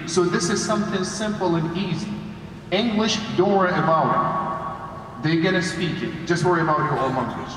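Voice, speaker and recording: High-voiced male preacher in an echoing hall. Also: a bit bright. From Saudi Arabia. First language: Arabic and Hausa. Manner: emphatic, repeats phrases for stress. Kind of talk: Friday sermon in English. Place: large indoor mosque hall. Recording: echoey hall, long reverb, background noise.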